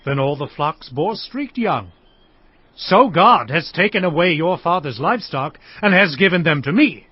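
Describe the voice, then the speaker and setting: Casting: high-pitched, hardworking herdsman for scripture drama, earnest and aggrieved, slightly dull sound. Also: lively intonation, normal speed, breathy edge, dry recording. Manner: earnest, declamatory. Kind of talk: dramatized audiobook acting. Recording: dull studio recording with music.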